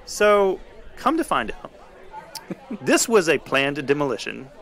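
A man's voice: on the high side, very animated, takes it slowly, creaky, a bit bright, a faint crowd. Man telling a casual personal story. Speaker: podcast storyteller.

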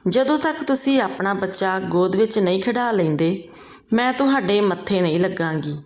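Female narrator as kind, childless sister-in-law from a village story; mid-pitched, polite, pleading, self-denying. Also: expressive, moderate pace, darkish tone, echoey room, close to an everyday voice. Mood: tearful pleading.

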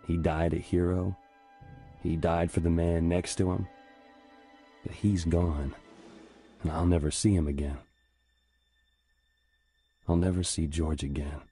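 An actor playing a weary young American paratrooper squad leader, narrating his war reflectively in a somber, measured way. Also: deep voice, level tone, mellow, slightly rough, soft background music. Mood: grieving. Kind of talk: scripted first-person war narration.

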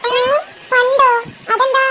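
A performer voicing an excitable, naive little sister in an amateur cartoon, chatty and wide-eyed. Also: very high-pitched, slow, background noise. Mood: excited, curious.

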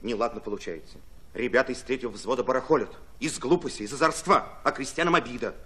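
A man acting an earnest commissar in a radio play. His mid-pitched voice is bright and quick, clipped and urgent, with firm conviction. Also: close to an everyday voice. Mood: concerned, firm.

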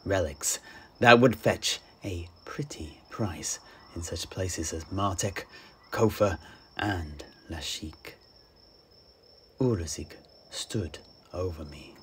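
A man narrating a fantasy tale in the first person, theatrical and deliberate, with long pauses. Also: low-pitched, slight background noise. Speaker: storyteller, host.

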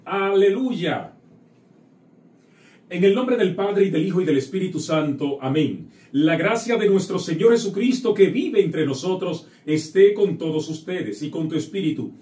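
Echoey priest, fairly high male voice. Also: lively intonation, a little slow, dark tone. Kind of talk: liturgical prayer, scripture reading and address to the faithful. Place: indoor chapel set at an altar. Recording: echoey room, distant microphone.

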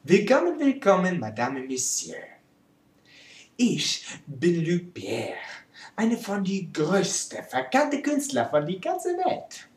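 A woman's voice: fairly low pitch, wildly swooping pitch, slow, darkish tone, heavily acted. Woman playing a pompous French artist, swooping delivery. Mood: grandly self-important. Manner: pompous, theatrical, with broken German grammar. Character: vain, theatrical French male artist and poet, Luc Pierre. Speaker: presenter. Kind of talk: comic in-character art history lecture. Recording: roomy, echoing amateur recording.

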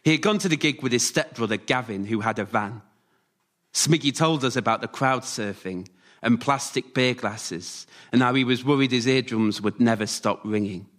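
Male storyteller in measured short phrases. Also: medium pitch, a bit bright. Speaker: writer-performer, storyteller. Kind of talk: scripted autobiographical storytelling, performed live. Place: radio theatre stage, before a live audience.

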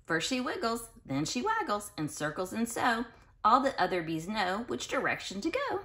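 A woman reading a children's picture book aloud in an expressive, sing-song way, speaking directly to young listeners. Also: fairly high pitch. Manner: pauses to explain and question listeners directly. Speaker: children's read-aloud host.

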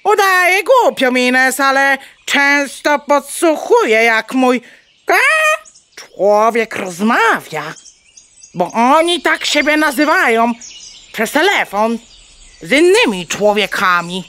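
A woman's voice: high voice, very animated, slow pace, a bit bright, full character voice. A woman playing a bold, clever, bossy mother hen in a children's audio play, clucking between theatrical phrases. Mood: knowing.

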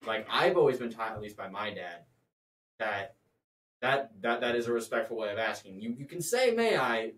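A man's voice: fairly low pitch, lively intonation, moderate pace, a bit bright, slight background noise. A teenage boy in casual chat, explaining his view earnestly with hesitant restarts. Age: teens. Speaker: podcast co-host. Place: classroom at a table, indoors. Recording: roomy classroom, mic at a distance.

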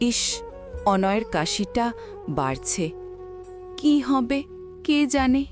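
A woman's voice: on the high side, big pitch swings, takes it slowly, over music. A woman voicing a worried, caring wife and mother from a poor family in a cartoon. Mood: anxious. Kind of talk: dramatised cartoon dialogue.

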